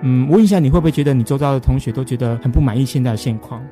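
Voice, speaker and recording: A man's voice: mid-range voice, racing, dark tone, faint music behind. A man with a Taiwanese Mandarin accent hosting a conversational radio interview in an effusive, encouraging manner. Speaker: interview host.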